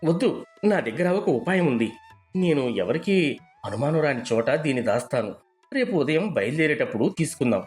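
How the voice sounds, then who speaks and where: A man's voice: fairly high pitch, big pitch swings, moderate pace, a little mellow, soft background music. A man playing an honest, humble poor gardener in a moral story, earnest and deferential. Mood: wary. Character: humble, honest poor male gardener, tearful, devoted husband. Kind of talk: dramatised moral storybook dialogue.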